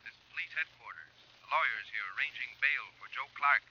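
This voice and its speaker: An actor playing a brisk male police clerk speaking through a telephone filter in a radio drama. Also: medium pitch, lo-fi sound, background noise. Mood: businesslike. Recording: thin telephone voice, old radio recording.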